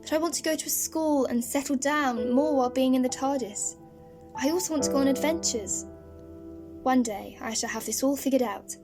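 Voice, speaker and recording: A woman's voice: high-pitched, natural voice. A woman playing a wistful, inquisitive young granddaughter in a fan audio drama, speaking earnestly. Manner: earnest, questioning. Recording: music laid under the voice.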